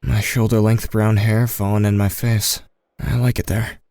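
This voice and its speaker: Man as shy anxious teen boy, first-person audio drama narration, lowish voice, close dry sound. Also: level tone, moderate pace, darkish tone, no room sound, close to an everyday voice. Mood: anxious, subdued. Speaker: narrator and lead actor.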